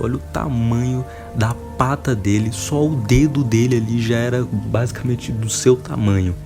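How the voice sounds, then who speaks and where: A Brazilian man hosting a casual enthusiast video, talking in an emphatic, informal, wonderstruck way. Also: mid-range voice. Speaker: video host.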